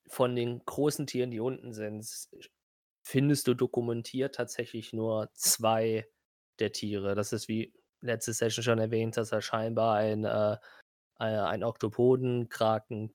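Male game master, even delivery with little melody, hesitant improvised narration, dry recording. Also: medium pitch, no room sound.

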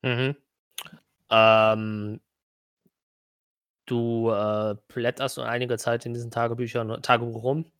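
A man's voice: mid-range voice, level tone, takes it slowly, pauses often, a bit bright, smooth, no room sound. A man improvising narration as game master of a tabletop game, hesitantly searching for his words.

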